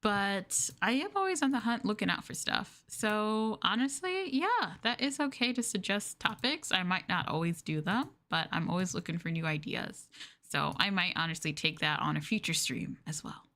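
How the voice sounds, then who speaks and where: Female streamer, bubbly and chatty, mid-pitched, casual livestream talk. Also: animated, moderate pace, a bit bright.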